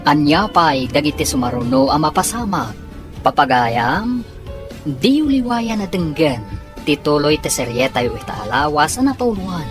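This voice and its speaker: A woman announcing and narrating a radio drama in a scripted, theatrical, drawn-out delivery. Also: low voice, very animated. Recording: music bed under radio announcing.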